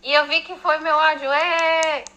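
Bright high woman sending a voice message. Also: level tone, normal speed.

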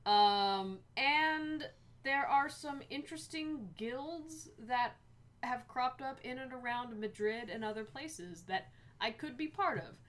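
A woman's voice: high-pitched, slow pace, a bit bright. A woman co-hosting a casual chat, rambling with many ums as she explains things in detail.

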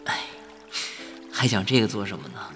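An actor playing a young male college freshman in a Chinese audio drama, wry, quick to bristle and privately wistful, speaking colloquially. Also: fairly low pitch, expressive, music in the background, lightly acted. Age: young adult.

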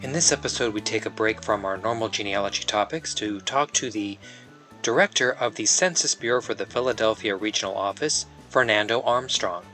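A man's voice: on the low side, fairly quick, bright, forceful tone, faint music behind. A man hosting a podcast interview, asking questions with frequent restarts and repeated words. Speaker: podcast host.

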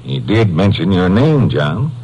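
An actor playing a plain-spoken small-town sheriff in a radio drama. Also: male voice, deep voice, very animated, measured pace, a little mellow, a little hiss or hum, low-quality recording. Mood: businesslike, folksy. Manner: folksy, informal. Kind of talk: 1950s radio drama acting. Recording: old 1950s radio recording.

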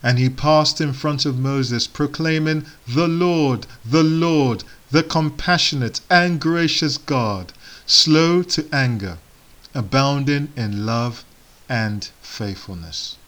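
A man whose first language is Igbo, giving a sermon in slow, measured phrases with solemn pauses. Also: medium pitch, fairly bright tone. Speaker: preacher.

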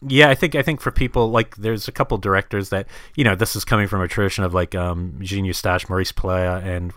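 A man's voice: low voice, fairly even intonation, a little fast, fairly bright tone, no echo, clean studio sound. A man from New Zealand chatting casually about films as a podcast host, hesitant and rambling as he thinks aloud. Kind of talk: casual film discussion.

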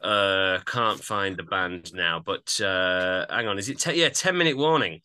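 Very bright-voiced man chatting casually about music. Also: low voice, unhurried, smooth. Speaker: podcast co-host.

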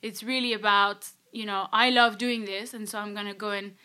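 A woman's voice: mid-range voice, steady tone, normal speed, bright, forceful tone, clear, resonant tone. A young woman from France, a life coach, chatting casually as an interview guest with many fillers and quoted thoughts.

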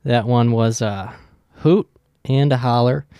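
Profane, rambling young podcast host. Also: male voice, mid-range voice, slow, mellow, clean tone, dry recording. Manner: profane, joking, loose and rambling. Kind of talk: casual rambling monologue.

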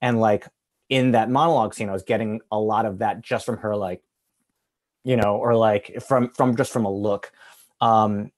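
A man's voice: lowish voice, steady tone, moderate pace. A man on a film panel telling a story in a loose, rambling way, filling his talk with "like". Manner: rambling, heavy use of "like". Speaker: actor on a panel. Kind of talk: casual storytelling.

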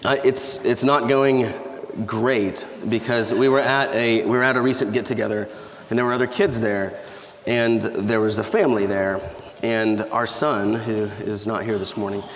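A man's voice: fairly low pitch, fairly even intonation, normal speed. A man in his thirties preaching a sermon in a church with a slightly scratchy voice from allergies, talking in a conversational, humorous way. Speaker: pastor. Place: church sanctuary. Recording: echoey church hall with reverb.

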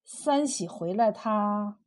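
Woman voicing an anxious older village farmer, husky. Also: fairly high pitch, lively intonation, a little slow, darkish tone. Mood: tentative. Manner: hesitant, placating.

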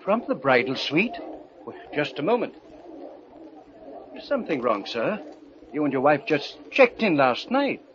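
Fussy, lively hotel clerk. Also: male voice, a little high, a little slow, pauses often. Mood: fussy, solicitous. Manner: polite, fussy. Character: officious, nosy hotel desk clerk. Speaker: actor. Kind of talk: 1940s radio drama acting. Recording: noisy, muffled vintage radio recording.

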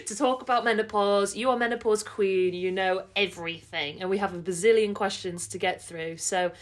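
A woman's voice: medium pitch, steady tone, moderate pace. A woman co-hosting a casual podcast, who tells stories with lots of laughter and the odd swear word. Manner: laughing, swearing, chatty storyteller. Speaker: podcast co-host.

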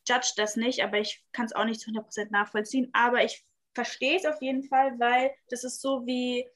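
A woman's voice: a little high, little pitch movement, moderate pace, a bit bright. A young woman from Germany, a native Spanish and Italian speaker, chatting casually in slang, acting out quotes and often checking she is understood. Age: young adult. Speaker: participant in a group talk. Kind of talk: casual chat, youth slang.

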